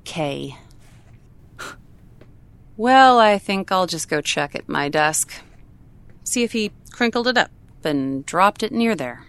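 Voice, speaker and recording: An actress in an audio drama playing a practical, skeptical office colleague with a dry, casual manner. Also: female voice, lowish voice, animated, slow, pauses often, a bit bright, clean tone, dry recording, exaggerated voice. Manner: matter-of-fact, dry, casual. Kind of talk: naturalistic audio drama acting.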